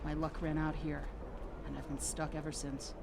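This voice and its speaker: An actor voicing a weary, stranded refugee biochemist in a game, matter-of-fact in tone. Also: male voice, on the high side, completely flat delivery, normal speed, creaky, noisy background. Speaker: game character. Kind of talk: voiced game dialogue.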